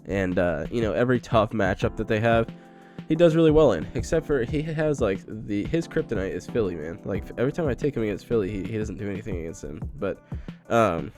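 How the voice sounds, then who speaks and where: A man's voice: fairly low pitch, expressive, a little fast, a little mellow, soft background music. A man with a voice worn down by a cold, casually giving his sports betting picks as co-host. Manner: laid-back, says "man" and "like" often.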